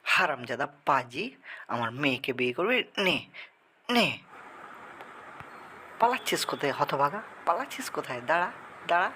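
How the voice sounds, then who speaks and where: A man's voice: on the high side, animated, moderate pace, pauses often, pushed and bright, a little hiss or hum. A man voicing a crafty, protective father in a folktale cartoon, blustering and exclamatory. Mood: angry, threatening. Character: crafty, blustering father guarding his daughter. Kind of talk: Bengali children's folktale cartoon acting.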